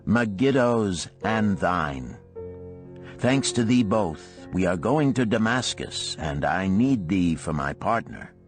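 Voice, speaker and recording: Storybook role: eager, grateful ancient Babylonian man, read by a male narrator, low-voiced, declamatory, archaic wording. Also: animated, slow pace, soft background music. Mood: grateful, excited.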